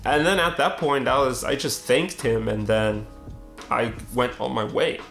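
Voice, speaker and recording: A man's voice: mid-range voice, fairly even intonation, unhurried, gravelly, music in the background. A young man, a street photographer, telling a personal story in a casual, conversational way and acting out both sides of the dialogue. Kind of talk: reflective storytelling narration.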